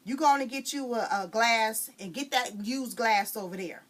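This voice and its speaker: A woman's voice: a little high, normal speed, voice pushed up, natural voice. A woman doing a stern scolding mother.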